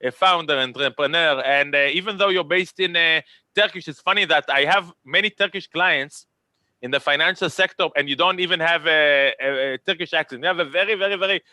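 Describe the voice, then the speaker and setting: A man's voice: fairly high pitch, a little fast, bright, forceful tone, no room sound. A man with an Israeli accent moderating a virtual conference panel, chatty and rambling, often repeating words.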